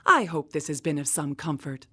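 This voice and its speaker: An actress playing a bluntly sarcastic woman who delivers grim findings with clinical, deadpan irony in a radio drama. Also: low voice, moderate pace, husky, heavily acted. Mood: clinical, sardonic.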